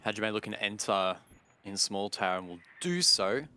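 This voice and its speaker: A man commentating live on an esports match in a measured, analytical way. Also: fairly low pitch, lively intonation, sharp tone. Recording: game gunfire and clicks behind voice. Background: game gunfire, explosions and clicks.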